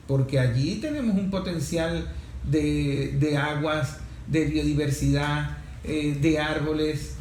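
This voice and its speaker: A Venezuelan man hosting a current affairs talk show, speaking deliberately in short phrases with emphatic repetition. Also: fairly high pitch. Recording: roomy echo, faint background noise.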